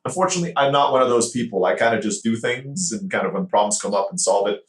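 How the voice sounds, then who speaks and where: Fast-talking man in an echoey room. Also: fairly low pitch. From born in the Philippines, grew up in Turkey. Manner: fast, animated storytelling. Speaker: podcast guest, entrepreneur. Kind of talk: casual interview talk. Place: home office in Mexico.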